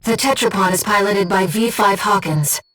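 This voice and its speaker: A woman voicing a gentle, composed, earnest disembodied female guide in a video game, speaking in a measured, softly formal and precise way. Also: medium pitch, far from the mic, lightly acted, rough recording. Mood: composed, focused. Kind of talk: scripted video game voice acting, mission guidance. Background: music.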